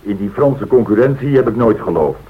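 Husky, low-voiced airline boss. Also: male voice, animated, a little fast. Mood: firm, businesslike. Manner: firm, businesslike, instructing. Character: authoritative, cautious male airline director. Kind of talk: radio drama dialogue. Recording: thin, phone-like old radio recording.

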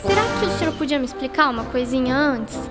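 A woman's voice: sits high, animated, fast, over loud music. A woman playing a curious, eager and polite young girl helper in a radio drama.

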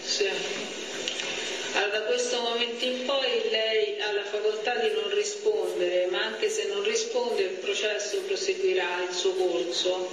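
A man's voice: sits high, little pitch movement, unhurried. A man speaking as a judge in court, formal and procedural. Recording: noisy, echoing, distant courtroom recording.

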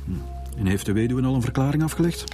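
An actor playing a stern, terse police director in a radio drama, giving orders in authoritative Dutch. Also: male voice, mid-range voice, expressive, fast talker, mellow, faint music behind, natural voice. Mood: brisk. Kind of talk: naturalistic radio drama dialogue.

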